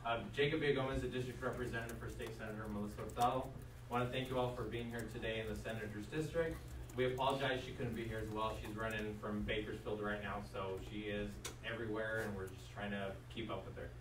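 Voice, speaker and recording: A man's voice: lowish voice, fairly even intonation, fast. A man giving short, courteous and informal remarks at a public meeting as a political representative. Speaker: district representative for a state senator. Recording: echoey room, distant mic, faint noise.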